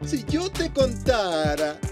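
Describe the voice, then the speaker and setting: A gentle, upbeat cartoon dad with a high, lively voice. He talks softly and reassuringly, a little theatrical. Music runs underneath. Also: slow, full character voice. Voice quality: soft, reassuring, lightly theatrical.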